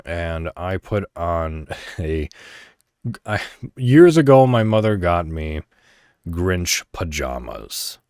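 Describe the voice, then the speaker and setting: A man's voice: low-pitched, very animated, slow pace, darkish tone, clean tone, no echo, clean studio sound. An American man hosting a solo podcast, talking casually and candidly as he rambles and thinks aloud. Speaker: podcast host.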